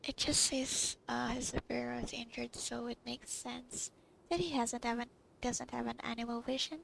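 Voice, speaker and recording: A woman's voice: a little high, steady tone, normal speed, gravelly, fairly bright tone, slight background noise, poor audio. A woman giving casual gameplay commentary, reacting in short remarks as she plays. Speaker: gamer playing and reacting. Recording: game music behind the voice.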